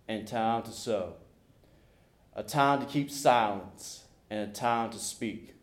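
A man reading a passage of scripture aloud in a church service, slowly and deliberately. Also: mid-range voice. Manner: slow, deliberate recitation. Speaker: scripture reader. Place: church sanctuary. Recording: echoey church.

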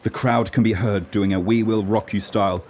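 A man's voice: fairly low pitch, steady tone, moderate pace, darkish tone, faint music behind. A man reading scripted stage directions as the narrator of a radio play. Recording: clear background noise.

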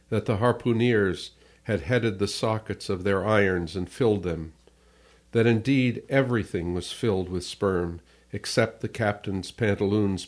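A man reading literary narration for an audiobook, measured and phrase by phrase. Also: on the low side, darkish tone.

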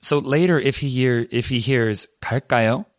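A man co-hosting a podcast, chatting in a relaxed, anecdotal way. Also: medium pitch, fast talker, no echo, studio quality. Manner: relaxed, storytelling, laughs easily. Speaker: podcast co-host. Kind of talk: casual conversation.

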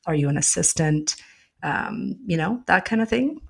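Woman with a gravelly edge, reflective. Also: sits low, expressive, normal speed. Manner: reflective, asks open questions, uses fillers like "you know". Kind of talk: casual conversation.

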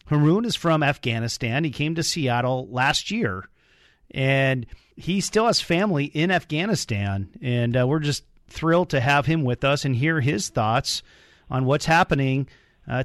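Male podcast host, medium pitch, very dry recording, conversational interview delivery. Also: unhurried, no room sound. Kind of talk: interview hosting.

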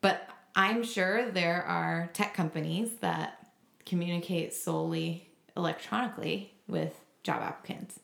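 Female podcast co-host, lowish creaky voice, casual chat, echoey room sound. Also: a little slow, fairly bright tone. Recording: roomy, echoing sound.

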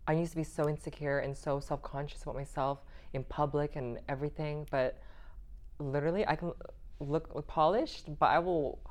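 A woman from Canada talks as a podcast guest, her voice sitting unusually low for a woman. She speaks softly and easily, affirming her host as they chat. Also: fairly even intonation, normal speed. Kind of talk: casual interview chat.

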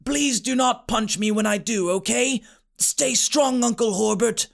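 A man as an earnest, high-voiced little boy. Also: a little slow, vocal fry, exaggerated voice. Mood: earnest, pleading. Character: little magic schoolboy, earnest and timid.

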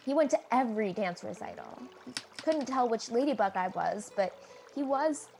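A woman's voice: on the high side, expressive, normal speed, gravelly, darkish tone, a little hiss or hum. A woman playing a frank, wry teenage girl in a comedy radio play, talking in a confiding monologue.

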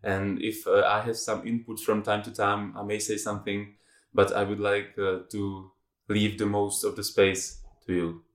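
A man's voice: lowish voice, flat delivery, moderate pace, roomy sound. A man from the Czech Republic with a Czech accent, a native Czech speaker, introducing a podcast as its host.

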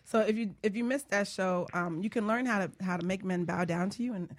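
A woman's voice: mid-range voice, fairly quick. Female radio host and life coach, mid-range voice, playful, teasing, chatty co-host banter. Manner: playful, teasing, laughs often. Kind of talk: lively co-hosted radio banter.

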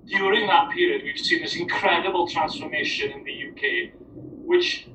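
A man's voice: sits high, normal speed, background noise. A man with a Welsh accent, an academic on a panel, talking in a discursive way with many restarts. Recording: roomy, distant mic.

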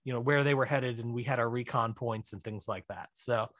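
A man with a mid-pitched voice chats casually as an interview guest. He tells behind-the-scenes stories as an animated, talkative filmmaker. The sound is dry, with hardly any room in it. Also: brisk, no echo.

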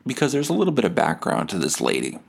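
Low-voiced man telling a comic story. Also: fast, vocal fry, slight background noise. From Winnipeg, Canada. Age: 30s. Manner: casual, sweary, animated when quoting dialogue. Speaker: podcast host. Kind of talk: comedic storytelling, reading out a message exchange.

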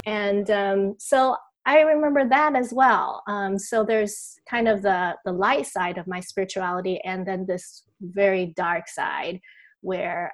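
A woman from Taiwan, an author and guest on a podcast, speaking thoughtfully with frequent ums. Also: medium pitch. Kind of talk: podcast interview.

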